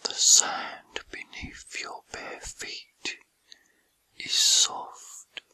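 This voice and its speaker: A man whispering a guided meditation in a soothing, gentle, breathy ASMR style with unhurried pauses.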